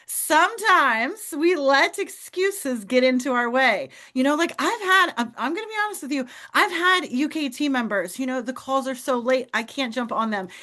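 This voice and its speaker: A woman's voice: high-pitched, animated, normal speed, hardly any pauses, bright, forceful tone. A woman hosting a team call, upbeat and enthusiastic, with a motivational manner. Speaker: host.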